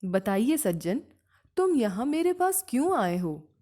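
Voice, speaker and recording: Woman as patient storybook sage, mellow and slow, teaching gently. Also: fairly high pitch, big pitch swings. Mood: calm, inquiring.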